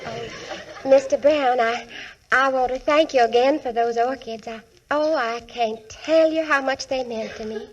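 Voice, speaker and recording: Sweet, high-pitched, gushing young lady, gracious and polite, old-time radio comedy. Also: unhurried, lo-fi sound. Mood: grateful, adoring. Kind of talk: 1940s radio comedy acting. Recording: vintage 1940s radio broadcast recording.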